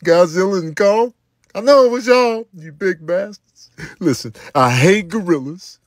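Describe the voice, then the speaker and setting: A man performing a comedic skit monologue in a lamenting, drawn-out manner. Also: high voice, lively intonation, no room sound, studio quality.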